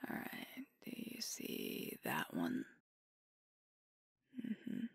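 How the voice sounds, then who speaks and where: A woman voicing a sweet, devoted werewolf girlfriend in an ASMR roleplay, whispering tenderly and unhurriedly with a breathy voice close to the mic. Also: rumbling low, huge pitch swings, no room sound, heavily acted. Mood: soft, affectionate. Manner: tender, earnest, soothing, unhurried. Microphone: close microphone, dry.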